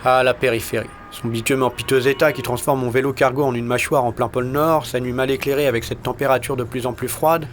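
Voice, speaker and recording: A man with a medium-pitched voice reads a scripted first-person story. His delivery is terse and weary, with a wry, colloquial edge. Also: slight background noise. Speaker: narrator and author of an audio short story.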